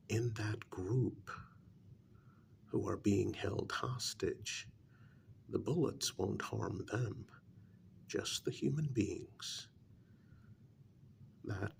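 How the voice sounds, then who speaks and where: A man playing an intense, devoted human familiar in a soft-spoken ASMR role-play, speaking in a slow, hushed, deliberate half-whisper with gravel in it. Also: fairly low pitch, a little hiss or hum.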